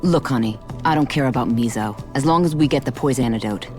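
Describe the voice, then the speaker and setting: A woman's voice: a bass voice, fast talker, music in the background. A woman playing a hard-bitten racer in a video game, blunt and scornful. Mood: dismissive. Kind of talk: video game cutscene acting.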